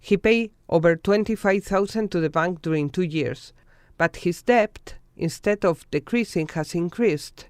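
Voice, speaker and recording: A documentary narrator reading a scripted news report in a measured, formal way from a studio voice-over. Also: mid-range voice, clean tone, no echo.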